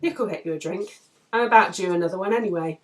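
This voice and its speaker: A woman's voice: lowish voice, fast, lightly acted. A woman reading aloud as a cheerful, tipsy, sociable woman friend in a novel, chatty and exclamatory. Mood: cheery, welcoming.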